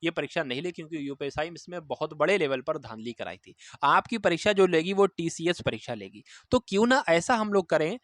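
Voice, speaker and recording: A man's voice: on the high side, lively intonation, fairly quick, few pauses, fairly bright tone, no echo, clean studio sound. A man giving an informal, instructive exam update to students, repeating key points for emphasis. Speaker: exam coaching presenter.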